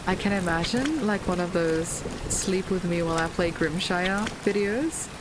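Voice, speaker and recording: A woman with a slightly hoarse voice, chatting casually through her gameplay with light puns and frequent ums. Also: lowish voice, moderate pace, noisy background. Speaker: gaming video creator. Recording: loud game music with rain sounds behind.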